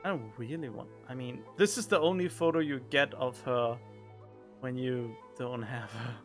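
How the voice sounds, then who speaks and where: A man's voice: mid-range voice, normal speed. A man giving casual game playthrough commentary, musing aloud and talking back to the game into a close studio microphone. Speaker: game streamer. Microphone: close studio condenser microphone. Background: game music.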